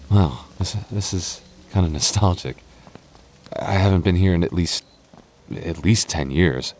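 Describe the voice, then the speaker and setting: A man playing a nervous, affectionate young boyfriend in an intimate roleplay audio drama, speaking softly with hesitant stammers. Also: low voice. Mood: playful, nostalgic. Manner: hesitant, stammering, soft-spoken intimate delivery.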